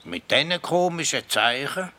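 A man playing an eccentric, cryptic forest spirit in a children's radio play, with a Swiss German accent and a gravelly voice. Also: fairly high pitch, very animated, sharp tone, exaggerated voice. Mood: musing, playful. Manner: slow, drawn-out, cryptic.

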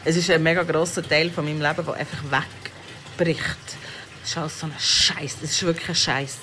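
A woman's voice: deep voice, fairly even intonation, moderate pace, noisy background. A woman with a Swiss German accent talking candidly and off the cuff, as in a casual personal audio diary. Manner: candid, unscripted, musing. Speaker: podcast host and parent.

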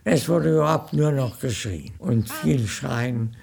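Older man, gravelly, swooping intonation, unhurried, emphatic recollection. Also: mid-range voice, expressive, crowd noise. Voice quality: gravelly, husky. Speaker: interviewee recalling his emigration. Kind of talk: slow anecdotal reminiscence.

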